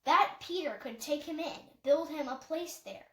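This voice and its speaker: A woman's voice: high voice, unhurried, reverberant. A woman reading a novel aloud as scripted audiobook narration. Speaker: audiobook reader.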